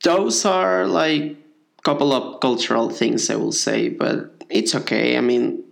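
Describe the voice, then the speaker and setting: Man, Mexican accent, native Spanish speaker, gravelly, casual chat, rambling. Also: medium pitch, a little slow. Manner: rambling, many fillers and restarts. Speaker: podcast co-host. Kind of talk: casual conversation.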